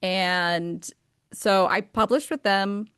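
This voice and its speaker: An American woman in her thirties chats as a guest about her writing, eager and upbeat, with plenty of "um" and "like" scattered through her talk. Her voice sits at an ordinary middle pitch. It is recorded close and dry, with no room sound around it. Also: fairly even intonation, slow pace, fairly bright tone, clean tone, dry recording. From United States. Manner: enthusiastic, chatty, many fillers like um and like. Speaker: author and guest. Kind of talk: casual interview conversation.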